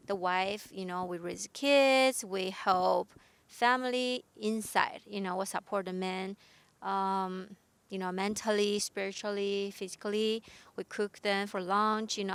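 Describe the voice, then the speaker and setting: Woman, very clear voice, hesitant simple phrasing. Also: medium pitch, a bit bright. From Japan. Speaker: panel guest. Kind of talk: casual discussion.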